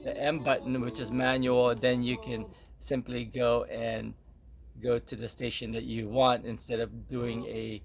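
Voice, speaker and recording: Man with creaky voice giving casual demo. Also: mid-range voice, little pitch movement, unhurried. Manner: hesitant, explanatory step by step. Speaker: product demonstrator. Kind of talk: casual unscripted product demo.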